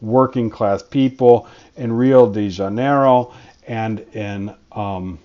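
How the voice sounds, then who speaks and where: A man's voice: lowish voice, slow, warm tone. Man lecturing, dull lo-fi sound. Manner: conversational, frequent fillers and restarts. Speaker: history professor. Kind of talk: recorded college lecture.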